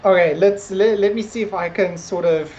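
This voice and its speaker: A woman's voice: mid-range voice, moderate pace, darkish tone, a little hiss or hum. A woman co-hosting a casual TV episode discussion, often hesitating and searching for words as she goes. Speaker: podcast co-host.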